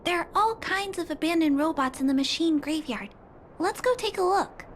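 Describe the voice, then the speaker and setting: A woman voicing a kind, earnest young girl who fixes robots, speaking politely and gently. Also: very high voice, animated, normal speed, noisy background. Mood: earnest gentle. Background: game music.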